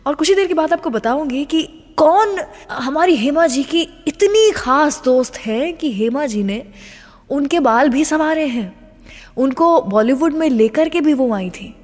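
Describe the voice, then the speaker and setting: A woman's voice: high-pitched, animated, measured pace, darkish tone, long reverb tail. An Indian woman presenting a radio show in a chatty, storytelling way, dropping English phrases into her Hindi.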